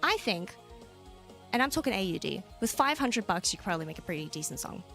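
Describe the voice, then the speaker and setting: A young Australian woman streamer chatting casually with viewers, laughing often and jumping into high squeals. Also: very animated, fast talker. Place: home room. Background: soft background music.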